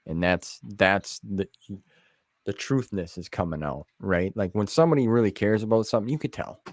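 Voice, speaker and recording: A man with a fairly low voice hosts a relaxed, informal chat. He is supportive and reassuring toward his guest, and his sound is dry, with very little room in it. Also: normal speed, no room sound. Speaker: interviewer, podcast host. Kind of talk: casual chat.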